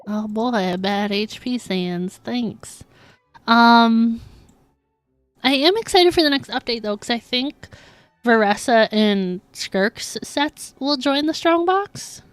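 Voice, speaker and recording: A woman's voice: on the high side, takes it slowly, smooth, dry recording, faint music behind. A woman livestreaming a game, chatting casually and informally with her viewers. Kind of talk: casual chat while streaming gameplay.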